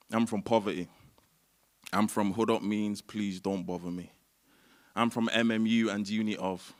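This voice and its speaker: Man performing spoken word. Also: on the low side. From Manchester, England. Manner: rhythmic, declamatory repetition. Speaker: performance poet. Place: stage at a live event.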